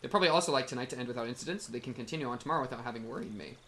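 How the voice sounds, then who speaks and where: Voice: medium pitch, rapid-fire, a bit bright. A streamer giving casual, wry, slangy reaction commentary and reading game narration aloud. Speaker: streamer playing and reacting to a visual novel.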